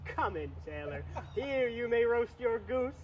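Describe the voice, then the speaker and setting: A man's voice: extremely high pitch, very animated, unhurried, muffled recording, heavily acted. A young man playing a rambling, grumbling porter at the gates of hell in a dramatic Shakespeare reading, recorded outdoors. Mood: grumbling, weary. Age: teenager. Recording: outdoor recording with traffic noise.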